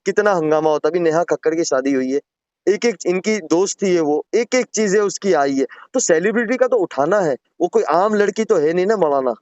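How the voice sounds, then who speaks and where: Earnest, higher-pitched man on muffled phone call. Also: expressive, brisk, darkish tone, clean tone, no room sound. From Gujarat, India. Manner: deferential, earnest, repeatedly addressing the listener respectfully. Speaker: caller. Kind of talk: private phone conversation, pleading explanation.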